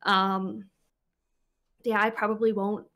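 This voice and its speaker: A woman's voice: mid-range voice, level tone, slow pace, a bit bright. A woman speaking as a panelist and streamer in a casual debate, hesitant with many restarts.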